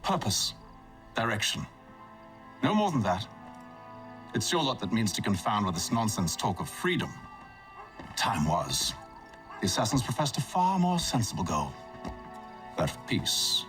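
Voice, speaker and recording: An actor in a video game playing a haughty older Templar leader, dry, formal and condescending. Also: male voice, fairly low pitch, sing-song, a little slow, long pauses, fairly bright tone, far from the mic, faint music behind. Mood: disdainful. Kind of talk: video game cutscene acting.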